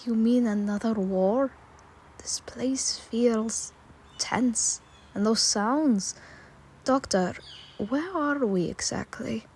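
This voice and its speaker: A woman acting a wary travelling companion in a fan audio drama, hushed and cautious. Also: on the high side, slow, slight background noise. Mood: uneasy.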